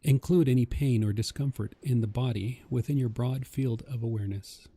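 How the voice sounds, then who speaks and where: Male meditation guide: husky and deeply relaxed, soft, calm, measured instruction. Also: dry recording. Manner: gentle, calming, measured instruction.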